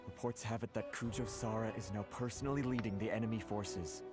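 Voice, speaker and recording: Grave, lowish-voiced army officer. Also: male voice, steady tone, fairly quick, darkish tone, breathy edge, soft background music. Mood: grave, worried. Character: grave, weary male army officer in a resistance camp. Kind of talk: video game voice acting.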